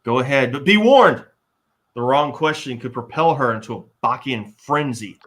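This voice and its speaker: A man's voice: mid-range voice, animated, normal speed, fairly bright tone, husky. A man playing a jittery, boastful, bumbling would-be warrior in a comic live table read. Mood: smug warning. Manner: blustering, nervous, exaggerated.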